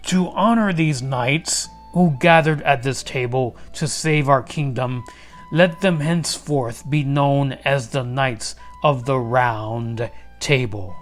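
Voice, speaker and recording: A man's voice: medium pitch, lightly acted. Noble storybook king, played by a male reader, commanding and kindly, projected proclamations, faint music. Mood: proud, announcing. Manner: proclaiming, deliberate, stately.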